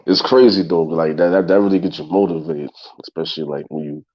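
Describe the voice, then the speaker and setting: Casting: very deep-voiced congested male host in his thirties, raspy, dull lo-fi sound. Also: steady tone, fast. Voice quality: gravelly and husky. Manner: loose and repetitive, speaking straight to his listeners. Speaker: podcast host. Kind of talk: casual solo talk show.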